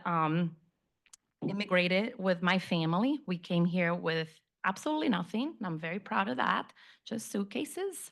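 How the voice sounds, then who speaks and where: A woman's voice: fairly low pitch, gravelly. A woman in her fifties from Brazil, a native Portuguese speaker, giving an informal, conversational talk about herself to an audience. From Rio de Janeiro, Brazil. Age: 50s. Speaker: guest speaker, community relations executive.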